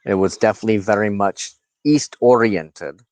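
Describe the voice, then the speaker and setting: A man giving an informal spiritual talk as a reverend and teacher, speaking in a halting, deliberate way with drawn-out pauses. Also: lowish voice, darkish tone, dry recording. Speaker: host, pagan reverend and teacher.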